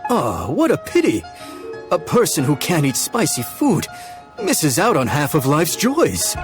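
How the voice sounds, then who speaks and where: A man's voice: on the high side, huge pitch swings, measured pace. A man playing a jovial, hospitable chef in a video game, hearty and eager to please. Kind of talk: scripted video game character acting. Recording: music behind the voice. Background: game music.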